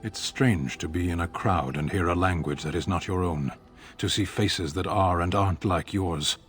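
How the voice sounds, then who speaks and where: An actor playing a stoic, stern male warrior in a fantasy game, speaking in a blunt, deadpan, deliberate and philosophical way. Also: low voice, soft background music. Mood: pensive. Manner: deadpan, terse, deliberate, matter-of-fact. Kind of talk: scripted fantasy game dialogue.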